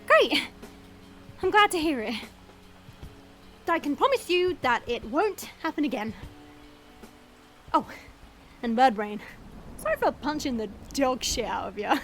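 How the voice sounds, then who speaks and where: A woman's voice: high-pitched, expressive, measured pace, long pauses, soft background music. A woman playing a brash, teasing female vampire in an audio roleplay, sarcastic and playful in a casual way.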